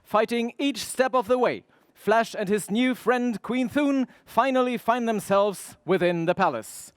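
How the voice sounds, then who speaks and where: A man's voice: very high voice, a little slow, clean tone, no echo. A man playing the dramatic, suspenseful announcer of a 1930s-style radio adventure serial. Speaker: narrator.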